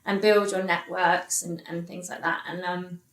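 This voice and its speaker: A woman's voice: on the low side, little pitch movement. A woman in her thirties, a podcast guest telling a personal story with many restarts and fillers. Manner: halting, many restarts and fillers, quotes others. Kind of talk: candid interview storytelling. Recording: echoey room.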